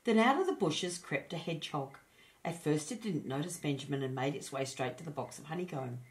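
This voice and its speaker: A woman narrating a children's storybook as an expressive storyteller. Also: low-pitched, brisk.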